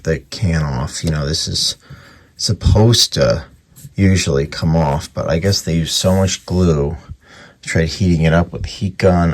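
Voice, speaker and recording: Deep, gravelly man thinking aloud. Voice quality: gravelly, husky. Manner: informal, thinking aloud while working. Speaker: radio repairer presenting his restoration. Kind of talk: casual hands-on repair commentary.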